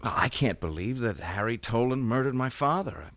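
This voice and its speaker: A man's voice: mid-range voice, animated, moderate pace, a bit bright, dry recording. An actor playing a shaken young man whose father was just murdered, speaking in rattled, hesitant bursts in a 1970s radio drama. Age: young adult. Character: young adult son, shaken and earnest.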